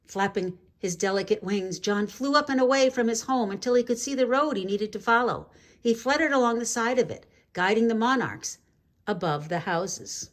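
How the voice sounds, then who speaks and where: A woman reading a children's story aloud in an expressive, measured way, drawing out key words for drama. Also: a little high. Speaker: storybook reader.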